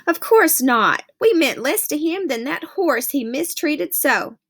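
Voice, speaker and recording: A fussy, dramatic mother voiced by a woman reading aloud who speaks emphatically and with theatrical flair. Her voice sits fairly high. She is a storybook figure, intense and insistent. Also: animated, measured pace, fairly bright tone, heavily acted. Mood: indignant.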